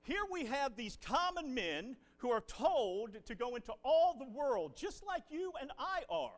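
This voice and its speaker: A man, a visiting preacher leading a Bible class and prayer in a folksy, animated way. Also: very high-pitched, unhurried, soft background music. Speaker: guest preacher. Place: church building.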